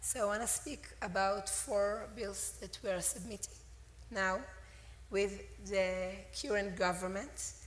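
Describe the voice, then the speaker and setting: A middle-aged woman speaks on a panel with a hoarse, strained voice. She talks slowly and pauses to search for words. The sound is roomy, with a long hall reverb and faint ambience behind her. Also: mid-range voice, steady tone, slight background noise. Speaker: panelist, legal and government relations lead. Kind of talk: conference panel talk. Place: conference hall.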